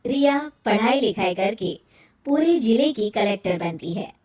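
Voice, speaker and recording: A woman narrates a scripted moral story in a high voice. The sound is roomy and echoing, set back from the microphone. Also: expressive, unhurried, darkish tone. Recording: roomy echo, distant from mic.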